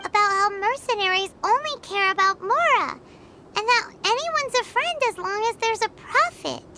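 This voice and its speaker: A woman voicing a tiny, childlike fairy companion in a video game, inquisitive and excitable, with a squeaky voice. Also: moderate pace, heavy background noise. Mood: hesitant, curious. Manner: exclamatory, speaks of herself in third person. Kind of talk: cartoonish video game voice acting. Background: prominent game music.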